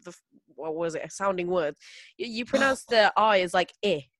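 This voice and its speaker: A woman's voice: lowish voice, expressive, moderate pace, a bit bright, no echo. Chatty woman podcast host, dry sound. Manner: chatty, laughs often, trails off. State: hay fever, coughing. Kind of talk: casual chat.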